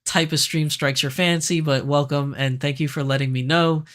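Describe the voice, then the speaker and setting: A man with a raspy voice, hoarse from being sick, hosting a casual livestream and answering viewer comments. His voice sits a little high, and he is animated, laughs easily and fills pauses with "um" and "uh". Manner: chatty, animated, laughs easily, frequent fillers. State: sick, raspier than usual. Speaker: livestream host.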